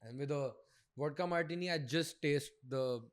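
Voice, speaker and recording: A man's voice: on the high side, normal speed. A man, co-hosting a casual podcast and chatting with friends, mixing in Hindi and swearing freely. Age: 20s. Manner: animated, swearing, mixes Hindi into English. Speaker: podcast co-host. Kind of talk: casual chat among friends.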